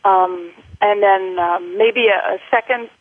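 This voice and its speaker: A woman in her forties with a Dutch accent, a little British and American in it, speaking at a middle pitch. She talks haltingly, with many ums and restarts. The sound is thin and tinny, like a phone line, with no room echo. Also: fairly even intonation. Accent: Dutch, with British and American touches. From Netherlands. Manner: hesitant, many fillers and restarts. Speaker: guest, college faculty member. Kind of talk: interview conversation.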